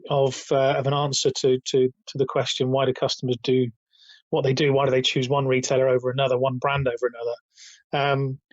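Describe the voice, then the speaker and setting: A man in his fifties with a raspy, somewhat high voice after illness, talking hesitantly with many restarts as an interview guest. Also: completely flat delivery, fairly quick, no echo. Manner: hesitant, many restarts, chuckles between points. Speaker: guest, retail author and company founder. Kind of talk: casual interview over a call. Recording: dull, dry remote call audio.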